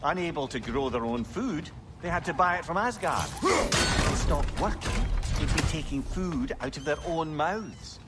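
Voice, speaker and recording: An actor playing a talkative, learned old counsellor in a video game, with an eloquent, theatrical way of talking. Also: male voice, a little high, expressive, normal speed, very noisy, natural voice. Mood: grim explaining. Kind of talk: video game dramatic acting.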